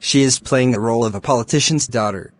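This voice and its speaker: Man acting as easygoing young male star, medium-pitched voice, very dry recording, courteous scripted cartoon dialogue, deliberate delivery. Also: no echo, natural voice. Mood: pleasant, courteous. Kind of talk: scripted English-learning dialogue.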